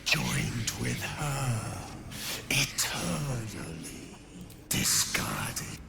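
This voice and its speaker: An actor voicing a talking corpse in a fantasy game, speaking in broken, drawn-out fragments. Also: medium pitch, wildly swooping pitch, creaky, very noisy, heavily acted. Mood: hollow, anguished.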